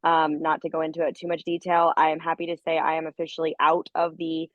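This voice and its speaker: A woman with the flu and a raspy, hoarse voice, talking in a chatty, candid way on a group video call. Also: low-pitched, completely flat delivery, fast talker, no room sound. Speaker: dance studio owner. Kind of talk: casual call with a business coach. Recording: muffled lo-fi call audio.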